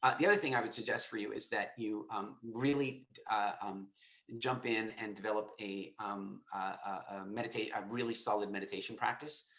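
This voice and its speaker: A man's voice: fairly low pitch, fairly even intonation, a little fast, a bit bright, reverberant. A man hosting a live call, answering a caller in an emphatic way, often repeating himself and restarting his sentences. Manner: emphatic, repetitive, many restarts. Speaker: host. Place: lives in Estonia.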